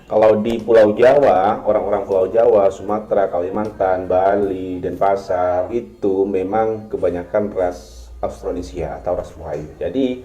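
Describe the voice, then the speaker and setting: A man from Madura, Indonesia, telling his experiences as a restaurant worker in a measured, halting way with frequent filler sounds. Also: sits low, fairly even intonation, mellow. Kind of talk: casual storytelling to camera. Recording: echoey, dull-sounding replayed video with faint music.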